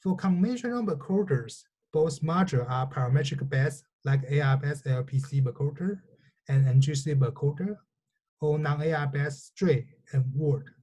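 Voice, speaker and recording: A man whose first language is Mandarin, giving a measured, explanatory technical lecture. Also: fairly high pitch, mellow.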